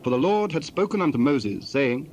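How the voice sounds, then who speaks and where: Higher-voiced man narrating scripture. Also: big pitch swings, darkish tone. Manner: formal, measured reading. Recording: dull sound, faint background noise.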